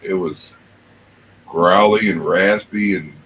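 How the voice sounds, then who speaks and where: A man talks casually in a very deep voice. His way of speaking is folksy and colloquial. There is some ambient noise behind him. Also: takes it slowly, a bit bright. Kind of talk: casual chat.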